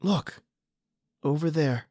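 A man's voice: a little high, slow, dark tone, dry recording, clean studio sound. A man playing a desperate, injured husband trapped underground in a horror radio drama. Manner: pleading, ragged, then defiant.

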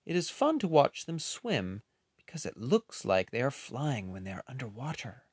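A man reading a children's picture book aloud in an expressive, unhurried way, with casual, playful asides. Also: medium pitch, breathy edge, no echo, clean studio sound.

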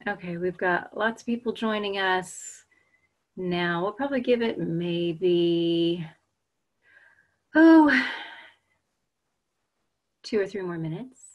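A woman in her forties with an American accent, chatting casually on a video call webinar and reading out audience answers. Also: medium pitch. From United States. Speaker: webinar presenter. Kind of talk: casual chat while waiting to start. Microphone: video call webcam.

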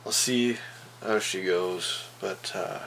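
Low-voiced young Canadian man, noisy recording. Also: slow, vocal fry, a bit bright. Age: 20s. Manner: laid-back, slangy, rambling. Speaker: vlogger. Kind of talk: casual vlog chat. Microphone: camera's built-in mic, handheld or at arm's length. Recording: noisy handheld camera audio, vehicle and ambient noise.

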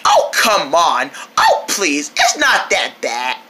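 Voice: medium pitch, wildly swooping pitch, slow, sharp tone, heavily acted. A performer voicing a careless, laid-back rabbit who rambles in slang in a homemade cartoon skit. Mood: dismissive.

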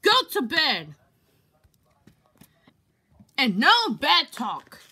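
A woman voicing a bossy, exasperated female caretaker in an amateur toy skit, scolding with drawn-out, emphatic commands. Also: high voice, very animated, sharp tone, exaggerated voice. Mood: stern, scolding. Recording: noisy homemade recording.

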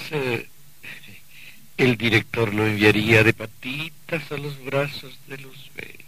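A mocking, drawling otherworldly emissary. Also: male voice, fairly low pitch, fairly bright tone, a little hiss or hum, low-quality recording. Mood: menacing, teasing. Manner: deliberate, condescending, theatrical. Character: smooth, mocking male emissary from the afterlife. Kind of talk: radio theatre acting.

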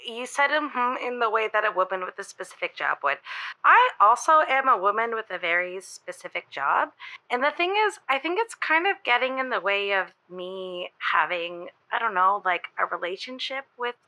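A woman's voice: mid-range voice, expressive, moderate pace, sharp tone. A woman playing an earnest, gushing caller to a radio show in an audio drama, confiding in a roundabout way. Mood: eager, confiding. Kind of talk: semi-scripted audio drama, improvised.

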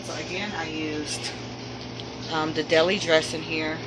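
A woman's voice: sits low, little pitch movement, measured pace, music in the background, rough recording. A woman chatting casually through a home cooking video, walking through each step with frequent ums. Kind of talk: casual cook-along narration. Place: home kitchen at the stove.

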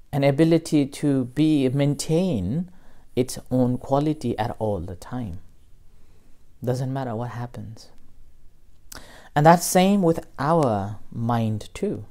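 Slow, soft-spoken man giving a dharma talk. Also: mid-range voice. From Nepal. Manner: slow, gentle, with pauses and fillers. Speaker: meditation teacher. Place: small room indoors.

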